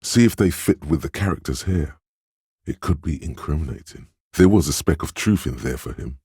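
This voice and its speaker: A sly, persuasive voice inside the head for a video game. It sits at the very bottom of the male range and is thick with husk. The delivery is measured and knowing, sizing people up and nudging the listener, in a close, dry recording. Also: extremely low pitch, lively intonation, mellow, slightly rough, dry recording, heavily acted. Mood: knowing, coaching. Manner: measured, knowing, insinuating coaching. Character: Suggestion, a sly insinuating inner voice reading people and coaching. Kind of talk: scripted video game narration.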